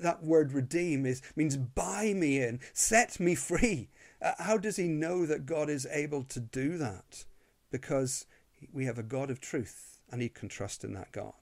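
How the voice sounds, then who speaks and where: A man's voice: fairly high pitch, darkish tone. A man with a Northern Irish accent preaching an earnest sermon, often repeating words as he starts a phrase. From Northern Ireland.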